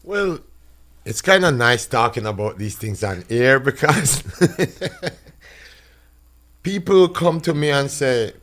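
A man, a chef talking as a podcast guest, animated, his voice jumping high when he gets excited. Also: takes it slowly, pauses often. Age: 50s. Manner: animated, big pitch jumps when excited. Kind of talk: casual interview talk. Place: small podcast studio. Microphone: studio boom mic, close.

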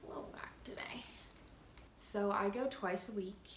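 A woman's voice: mid-range voice, steady tone, normal speed, roomy sound, in a whisper. A young woman in her teens talking casually to a laptop camera in a small bedroom, chatty with lots of fillers. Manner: chatty, giggly, lots of likes and ums. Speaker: vlogger. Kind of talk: casual vlog talk to camera.

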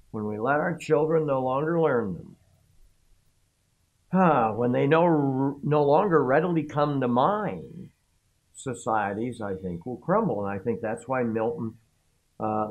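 An older man giving a formal commencement speech, halting and correcting himself as he goes. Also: mid-range voice, phone-quality sound. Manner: slow, halting, with self-corrections. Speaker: commencement speaker. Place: room before a backdrop.

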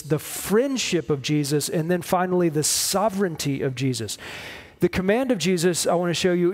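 A man in his forties preaching a sermon, explaining in measured short phrases with pauses. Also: high voice. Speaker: pastor preaching. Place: church stage at a lectern, indoors.

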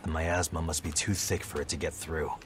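A man's voice: very deep voice, little pitch movement, a little fast, noisy background. An actor playing a stern, battle-weary male warrior in a video game, terse and grave. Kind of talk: dramatic video game dialogue. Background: music.

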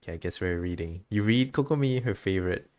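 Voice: sits low, lively intonation, fast talker, darkish tone. A streamer giving casual, chatty commentary on a game and reading its dialogue aloud.

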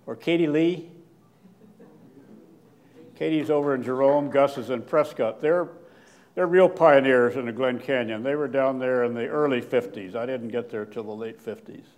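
Older guy giving a slide talk in a hall, loud voice, lots of uh and restarts. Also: mid-range voice. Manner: loud, plain-spoken, halting with many fillers and restarts. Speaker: guest lecturer, archaeologist. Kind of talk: public lecture with slides. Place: lecture hall with seated audience. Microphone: podium microphone, standing at lectern.

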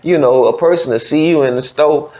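A man's voice: a little high, brisk, dark tone. A man with a Southern US accent hosting a casual interview in loose, slangy talk full of verbal tags. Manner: slangy, frequent "you know what I'm saying". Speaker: podcast host interviewing. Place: indoor studio desk. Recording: dull, lo-fi studio recording.

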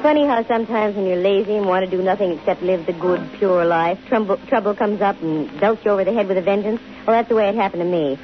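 An American actress playing a wry, teasing, quick-witted private investigator in a 1950s radio drama. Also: female voice, mid-range voice, soft background music, lightly acted. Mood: wry, confiding. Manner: dry, teasing, arch. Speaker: lead actress and narrator. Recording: dull old 1950 radio recording.

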